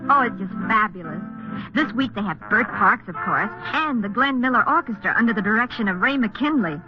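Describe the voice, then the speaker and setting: A cheerful, chatty housewife played by a woman in a vintage radio promo. Her voice is high and leaps about as she gushes into the telephone. The old recording is slightly muffled, with music behind her. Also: lively intonation, fairly quick, close to an everyday voice. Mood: bubbly, gushing. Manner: gushing, chatty, exclaiming. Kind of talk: 1950s radio advertisement acting.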